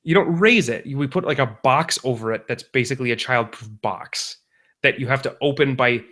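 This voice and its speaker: A young man co-hosts a casual podcast. His voice sits at an ordinary middle pitch. He talks in long, rambling stretches and often corrects himself mid-sentence. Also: a little fast, bright, forceful tone. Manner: rambling, self-correcting parent anecdotes. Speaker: podcast co-host. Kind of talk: casual chat.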